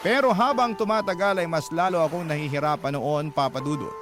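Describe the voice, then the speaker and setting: A man narrating a troubled letter sender's first-person story in a measured, earnest way, with a Filipino accent. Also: on the high side, lightly acted. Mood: somber. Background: loud music.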